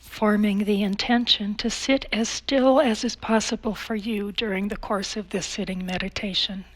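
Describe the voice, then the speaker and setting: A woman leading a guided meditation as an instructor, speaking calmly in measured phrases with long pauses. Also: medium pitch, poor audio.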